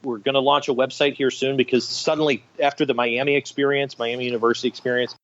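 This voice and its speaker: A man's voice: mid-range voice, level tone, fast, a bit bright, highs cut off. A middle-aged man telling anecdotes enthusiastically as an interview guest, in a conversational storytelling style. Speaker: guest, radio theater director.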